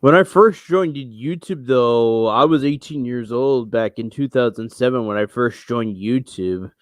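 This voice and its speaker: A man's voice: medium pitch, unhurried, no echo, studio quality. A man in his thirties ranting on a solo livestream, rambling and restarting, frustrated, talking into a headset mic in a small room at home. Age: 30s. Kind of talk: casual solo livestream rant.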